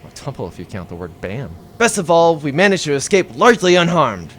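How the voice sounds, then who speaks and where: A man's voice: on the high side, big pitch swings, brisk, fairly bright tone. Man playing swaggering, wisecracking space adventurer. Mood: smug, triumphant. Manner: swaggering, playful. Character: smug, wisecracking male space adventurer fond of wordplay. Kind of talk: comedic audio drama acting.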